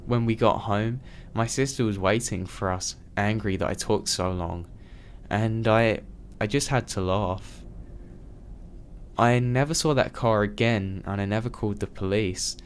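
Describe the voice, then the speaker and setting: A man with a fairly low voice narrates scary stories in the first person. His delivery stays steady and even from line to line, with a faint hiss of noise behind him. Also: measured pace. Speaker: storyteller. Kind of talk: reading true scary stories aloud.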